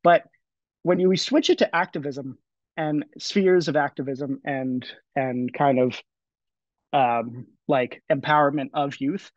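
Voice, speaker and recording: A man's voice: mid-range voice, unhurried, no echo. A man in his twenties speaking casually on a panel over a video call, with frequent fillers and restarts. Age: 20s. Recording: dry, dull lo-fi audio.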